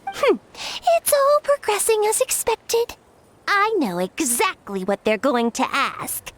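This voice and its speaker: Smug scheming fungus. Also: female voice, extremely high pitch, wildly swooping pitch, takes it slowly, fairly bright tone, a little hiss or hum, heavily acted. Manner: smug, scornful, drawling. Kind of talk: video game voice acting.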